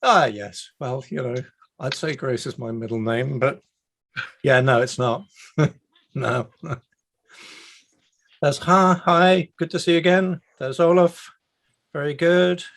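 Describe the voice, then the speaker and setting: A man's voice: a little high, slow pace, pauses often, fairly bright tone. A man from the UK hosting an online meetup over a video call, chatty and self-deprecating. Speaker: meetup host. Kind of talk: casual online meetup chat.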